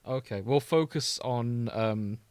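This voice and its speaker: A man's voice: mid-range voice, takes it slowly, darkish tone, smooth, dry recording. A man hosting a casual fan podcast, chatting informally and steering the discussion with questions. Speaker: podcast host.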